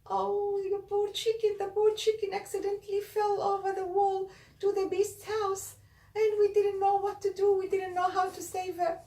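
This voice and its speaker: A woman's voice: mid-range voice, slow, natural voice. A woman voicing two scheming little girls, cruel tricksters with a sly, mocking sing-song. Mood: fake sad. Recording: roomy, distant mic.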